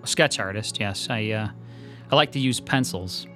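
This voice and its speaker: A man's voice: lowish voice, normal speed, pushed and bright, faint music behind. An actor playing a polite, slightly hesitant male sketch artist in a radio drama.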